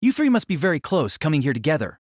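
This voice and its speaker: A woman's voice: low-pitched, sing-song, quick pace, a little mellow, no room sound, studio quality. A woman playing a polite, bewildered teenage boy student in an audio drama, hesitant and questioning. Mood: puzzled. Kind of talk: anime-style audio drama acting.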